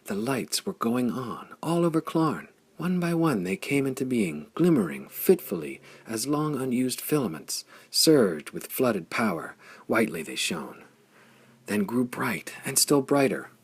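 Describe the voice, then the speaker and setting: A man narrating a pulp adventure story for an audiobook, with a measured reading that grows excited with the action. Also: medium pitch, husky.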